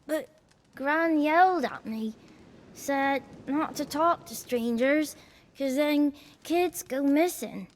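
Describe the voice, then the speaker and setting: High-voiced, wary peasant child. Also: female voice, slight background noise. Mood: wary, worried. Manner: halting, plain rustic speech. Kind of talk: video game cutscene acting.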